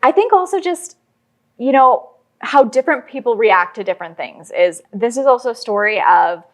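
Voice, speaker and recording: A woman's voice: fairly high pitch, lively intonation, normal speed. A woman speaking as an interview guest, explaining her creative work in a conversational way with illustrative examples. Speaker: interview guest, audio drama writer.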